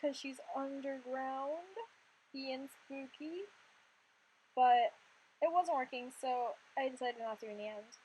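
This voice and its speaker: A young woman in her twenties with a high-pitched voice, a little hoarse from losing it, talks through a gameplay voice-over. She rambles in a chatty, filler-heavy way at a home desk mic. Faint hiss runs underneath. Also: slow, darkish tone, muffled recording. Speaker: Sims content creator.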